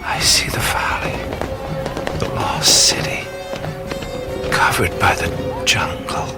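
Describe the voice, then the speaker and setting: An actor playing a bewildered, wisecracking adventurer in a radio play, with halting, wry exclamations. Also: male voice, natural voice. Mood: awed, breathless. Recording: studio radio play with loud music. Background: loud music and effects.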